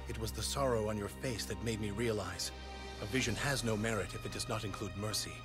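A man's voice: on the low side, brisk, natural voice. Man acting solemn, noble warlord over music. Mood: sorrowful, humble. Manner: solemn, earnest. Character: noble, sorrowful, idealistic warlord. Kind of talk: English-dubbed video game cutscene acting.

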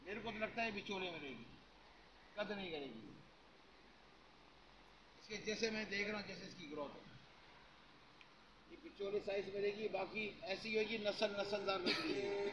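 A middle-aged man with a high voice, chatting to camera in an outdoor cattle yard. He repeats himself and strings together pious interjections as he pitches. The sound is a noisy outdoor phone recording with a small hand-held mic. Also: normal speed, long pauses, muffled recording. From Pakistan. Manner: chatty, repetitive, frequent religious interjections. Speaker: cattle farm vlogger. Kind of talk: casual selling pitch to camera. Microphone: handheld lavalier mic held near mouth.

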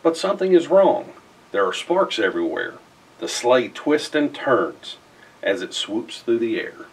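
A middle-aged man reads a rhyming children's picture book aloud in an expressive, theatrical way with emphatic phrasing. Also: medium pitch, measured pace, recorded from a distance, a little hiss or hum, poor audio. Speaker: storybook reader. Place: indoors in front of a festive backdrop.

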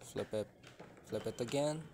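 A man's voice: lowish voice, measured pace. A man gives a casual step-by-step tutorial in short, simple instructions. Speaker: tech tutorial host. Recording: clearly noisy room ambience.

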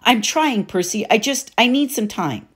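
Woman reading as a gentle pleading mother. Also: a little high, expressive, normal speed, husky, pitched above the performer's own voice.

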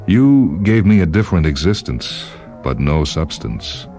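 Male narrator as erudite living-word man in a short story. Bottom-deep, blunt, precise delivery. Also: very animated, a little slow, dark tone, soft background music, heavily acted. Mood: pedantic, intense. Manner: clipped, pedantic, insistent. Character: erudite, coolly blunt man made of words.

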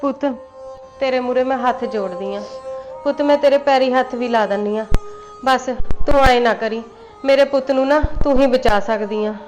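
A woman's voice: high-pitched, normal speed, a little mellow, lo-fi sound, natural voice. A woman playing a remorseful mother-in-law who pleads with her son's wife and tries to soothe her. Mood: pleading. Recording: faint music behind.

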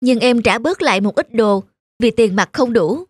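A woman's voice: on the high side, animated, moderate pace, a little hiss or hum, lightly acted. A woman voicing a spoiled grown-up son in his twenties in a cartoon drama, whiny and pleading. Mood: flustered.

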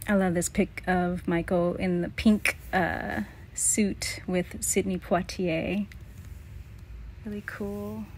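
A middle-aged woman thinking aloud in a halting, casual way as she comments on a scrapbook for a vlog. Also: fairly low pitch, level tone, a little hiss or hum. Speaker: vlogger, memoir author.

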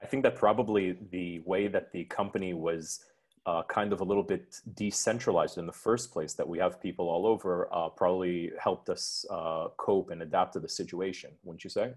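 A man's voice: low voice, steady tone, moderate pace, darkish tone, slightly rough. A man moderating a casual interview.

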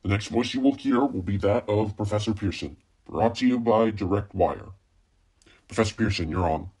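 Earnest radio-play newsreader, played by a man, slightly low voice, formal measured bulletin delivery. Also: a little mellow, breathy edge. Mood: urgent, composed. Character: earnest male radio news announcer breaking grave bulletins. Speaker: amateur radio play performer. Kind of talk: radio news bulletin acting.

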